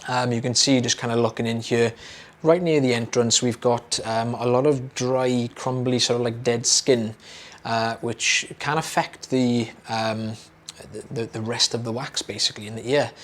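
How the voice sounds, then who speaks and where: Slightly hoarse British man explaining calmly. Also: mid-range voice, normal speed, few pauses. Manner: relaxed, chatty, many fillers and repeated words. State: slightly hoarse, losing his voice. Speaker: audiologist. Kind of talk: explaining a procedure to camera.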